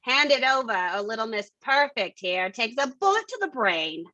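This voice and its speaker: A cool, condescending villainess from a noir stage comedy, acted by a woman. She is fairly high and bright, unhurried and sardonic, on a slightly lo-fi recording. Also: very animated, dry recording. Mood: commanding menace. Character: scornful, cool, commanding femme fatale villainess.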